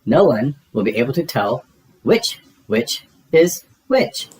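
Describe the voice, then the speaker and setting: A man's voice: medium pitch, sing-song, slow, background noise. A man reading a storybook, voicing a cheerful, eager young girl monster who likes to organize her friends. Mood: excited, scheming.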